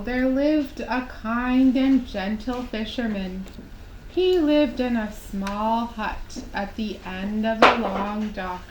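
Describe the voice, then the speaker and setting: Slow-speaking woman storyteller in an echoey room. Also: on the high side. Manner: gentle, slow, deliberate phrasing with pauses. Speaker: puppet show storyteller. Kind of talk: children's storytelling, scripted narration. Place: indoor room at a live puppet show. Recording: roomy echo, clear background noise.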